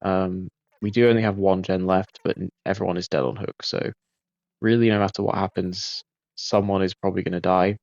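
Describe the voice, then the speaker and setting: Low gravelly man, laid-back game commentary. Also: little pitch movement, brisk, darkish tone, no room sound, highs cut off. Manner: laid-back, self-critical, frequent fillers. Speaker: gaming video creator. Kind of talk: relaxed post-game commentary.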